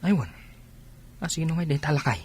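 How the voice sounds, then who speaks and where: A man's voice: on the high side, animated, brisk, darkish tone, husky. A man with a Filipino accent playing an easygoing village loafer and drinking buddy in a colloquial comedy radio drama.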